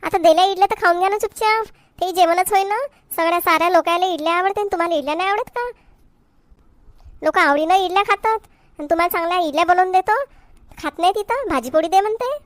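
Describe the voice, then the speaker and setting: A woman's voice: very high voice, fast, no echo. A woman playing an exasperated, hard-working village wife in a comedy cartoon, complaining sharply in a Varhadi Marathi accent. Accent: Varhadi Marathi from Vidarbha. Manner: scolding, complaining, sharp-tongued.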